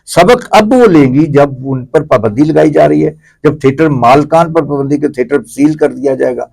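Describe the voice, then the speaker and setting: A man's voice: a little high, vocal fry, darkish tone, low-quality recording. A middle-aged Pakistani man giving opinions as an interview guest, explaining at length and repeating phrases conversationally. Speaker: interview guest, theatre commentator.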